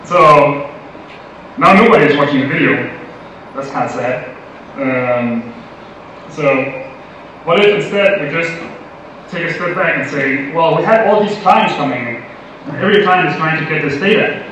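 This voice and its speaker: A man's voice: a little high, lively intonation, unhurried, pauses often, fairly bright tone. A young man from Denmark giving a casual, playful tech conference talk with many fillers. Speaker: conference speaker. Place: large conference hall. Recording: echoey hall from far, dull lo-fi audio, noisy.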